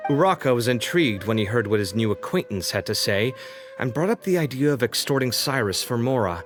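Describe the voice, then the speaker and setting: A man's voice: mid-range voice, lively intonation, fairly quick, over music, natural voice. A man voicing a candid young man in a video game, casual, emphatic and opinionated. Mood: explaining. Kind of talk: English video game dub acting.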